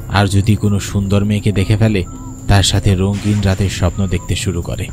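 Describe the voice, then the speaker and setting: A man's voice: medium pitch, a little mellow, close to an everyday voice. Man acting a brooding psychiatrist with demons. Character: troubled male psychiatrist with a dark, demonic side. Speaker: voice actor in an audio horror story. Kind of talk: dramatised horror story dialogue.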